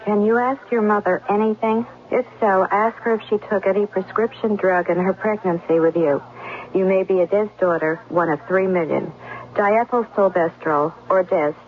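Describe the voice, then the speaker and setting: A woman reading a scripted 1970s radio health announcement in a serious, direct, informative manner. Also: on the low side. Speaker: public service announcer. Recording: tinny vintage radio broadcast.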